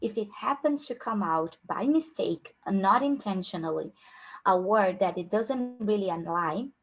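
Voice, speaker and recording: A woman's voice: medium pitch, lively intonation, unhurried. Young Brazilian-accented woman coaching, casual workshop talk, lots of fillers. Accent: Brazilian Portuguese. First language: Portuguese. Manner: informal, many fillers and restarts. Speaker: career coach.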